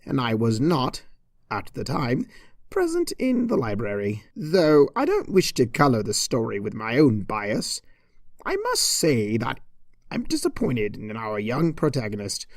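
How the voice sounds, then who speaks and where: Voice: mid-range voice, wildly swooping pitch, a little slow, no echo, clean studio sound. Theatrical, swooping storybook narrator. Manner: theatrical, mock-pompous, arch. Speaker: fan fiction reader. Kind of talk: scripted comedic story narration.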